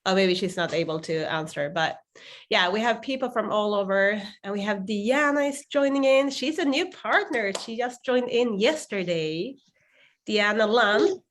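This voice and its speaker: A woman's voice: medium pitch, lively intonation, moderate pace. A woman hosting an online team call, chatty and drawn out with many fillers. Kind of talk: casual online meeting hosting.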